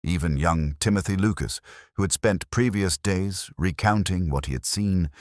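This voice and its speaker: Male audiobook narrator, mystery fiction. Very low voice, lively intonation, formal and measured delivery, dry close sound. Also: dry recording. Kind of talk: scripted mystery story narration.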